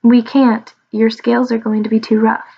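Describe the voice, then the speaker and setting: Woman as a high-voiced, determined young witch. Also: flat delivery, moderate pace, gravelly, dark tone, highs cut off. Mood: worried. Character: brave, determined young witch in training turned frog.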